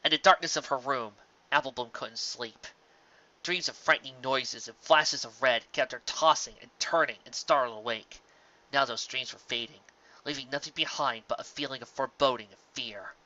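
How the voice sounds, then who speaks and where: A man's voice: mid-range voice, pushed and bright, lo-fi sound. A man reading a scripted story as its narrator, with dramatic delivery.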